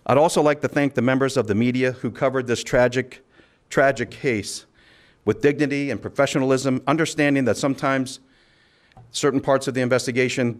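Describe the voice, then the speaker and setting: A man speaking as a sheriff at a press conference, delivering a formal statement in a measured, official, occasionally halting way. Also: medium pitch. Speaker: county sheriff.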